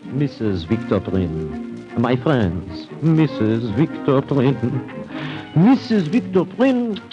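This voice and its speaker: An actor playing a fussy, excitable French hotel manager with a French accent and broken English in a radio play. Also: male voice, mid-range voice, takes it slowly, muffled recording, music in the background. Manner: theatrical, exclamatory, broken English.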